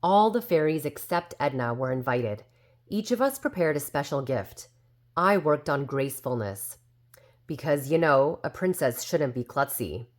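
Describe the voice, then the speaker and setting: A woman's voice: low voice, animated, unhurried. A woman voicing a prim, know-it-all younger sister fairy, telling a storybook tale in a smug, critical, gossipy way. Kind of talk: storybook character narration.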